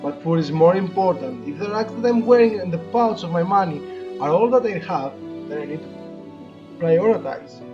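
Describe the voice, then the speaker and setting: A man reads visual novel narration aloud as a let's play co-host, his pitch moving up and down freely. He drops into casual commentary between passages. The audio is slightly muffled, with game music faintly behind him. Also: animated, moderate pace. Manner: corrects co-player's words.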